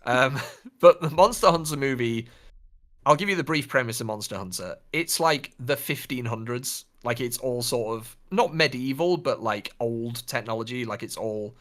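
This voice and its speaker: A man with an average-pitched voice talks as a podcast co-host. He chats informally about films and games, in an easy conversational style. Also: lively intonation, normal speed, fairly bright tone.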